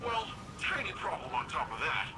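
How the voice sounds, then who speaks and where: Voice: medium pitch, big pitch swings, moderate pace, very noisy, close to an everyday voice. An actor playing an upbeat, wisecracking young cyborg protector in a video game, with a breezy, informal manner. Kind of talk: video game radio dialogue. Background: music.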